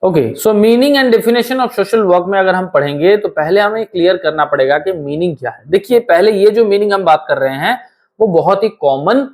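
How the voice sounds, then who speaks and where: Hoarse man teaching an online class. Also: sits high, expressive. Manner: explains patiently, repeats key phrases slowly, addresses students directly. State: hoarse, sore throat. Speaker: online exam coaching teacher. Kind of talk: online lecture with course promotion.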